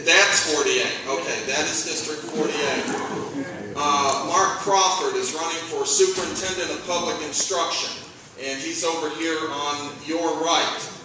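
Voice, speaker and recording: A man's voice: on the high side, steady tone, unhurried, a crowd in the background. Guy chairing a convention, handheld mic, big echoey hall, heard from far back. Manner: folksy, joking asides. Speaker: district party chairman. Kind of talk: convention speech. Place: large hall with stage. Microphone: handheld mic through PA, camera far off.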